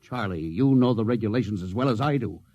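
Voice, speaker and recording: Commanding spaceship captain, close and dry. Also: male voice, lowish voice, expressive, quick pace, warm tone, no echo. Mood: regretful, fond. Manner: clipped, commanding. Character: stern middle-aged spaceship captain, gruff but fond of old crew. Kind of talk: 1950s radio drama acting.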